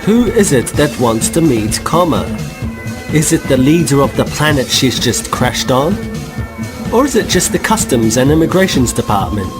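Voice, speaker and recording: A man giving wry, measured scripted narration for an audio drama. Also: mid-range voice, sing-song, over music.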